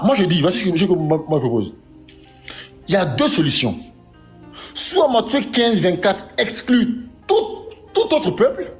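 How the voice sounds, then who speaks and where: A man debating heatedly in a live-stream, stammering and repeating phrases as he cuts in. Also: high-pitched.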